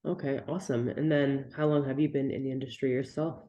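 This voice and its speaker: A woman's voice: extremely low pitch, steady tone, warm tone. A woman in her twenties, a guest nurse and nutrition coach, talking candidly and casually over a remote call, swearing now and then. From Canada. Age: 20s. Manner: candid and breezy, swears casually, quick explanations. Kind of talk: casual podcast interview.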